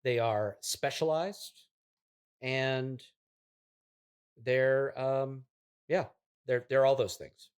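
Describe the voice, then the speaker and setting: Guy doing a smug clueless app dev on a comedy podcast, normal pitch, deadpan, halting, talks down to you. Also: smooth. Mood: halting, evasive. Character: smug, oblivious affluent male app developer, touchily defensive. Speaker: interview guest. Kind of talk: improvised deadpan comedy interview.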